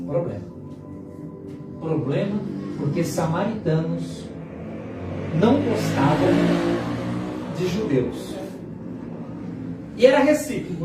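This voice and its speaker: Echoey Brazilian pastor preaching over music. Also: male voice, fairly high pitch. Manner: dramatic pauses and repetition for emphasis. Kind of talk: sermon. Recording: very echoey hall, distant mic, music behind.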